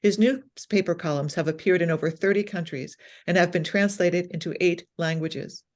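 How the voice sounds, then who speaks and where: A woman's voice: on the low side, moderate pace. A woman host introducing a guest author and asking interview questions in a friendly manner.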